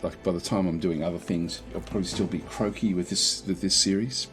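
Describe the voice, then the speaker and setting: A man with a croaky voice casually talking through a strategy game, thinking aloud with many fillers and restarts. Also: sits low, steady tone, fairly quick, a bit bright, faint music behind. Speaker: gameplay commentator.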